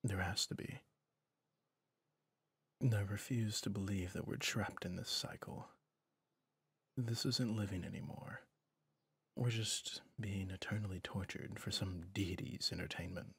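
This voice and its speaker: Breathy, low-voiced weary villain, acted by a man. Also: no room sound, heavily acted. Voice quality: breathy, often dropping near a whisper. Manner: slow, soft, pensive, with deliberate pauses. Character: weary male villain, reflective, gentle toward his rival hero. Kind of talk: ASMR roleplay audio drama.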